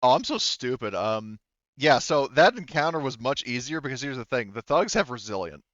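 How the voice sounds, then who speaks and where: A man's voice: mid-range voice, big pitch swings, moderate pace, fairly bright tone, no room sound, dull audio. A man talking casually as game master of a tabletop game, narrating and going over rules in an informal, sweary way.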